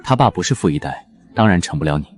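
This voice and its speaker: A man acting a cool, sharp-tongued young man in a Chinese romance audio drama, sardonic and composed. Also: low-pitched, expressive, fast. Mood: mocking.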